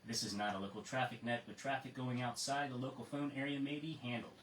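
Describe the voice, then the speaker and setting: A man's voice: medium pitch, fairly even intonation, quick pace, fairly bright tone. American man running radio net, roomy sound. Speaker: amateur radio net control operator and host. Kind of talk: reading a net script and chatting with check-ins. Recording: roomy, distant mic with faint noise.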